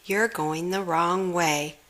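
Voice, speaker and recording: A woman's voice: lowish voice, fairly even intonation, takes it slowly, fairly bright tone. A woman voicing a kindly female schoolteacher in a children's storybook, addressing children as if announcing to a class. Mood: instructing. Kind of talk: children's storybook read-aloud.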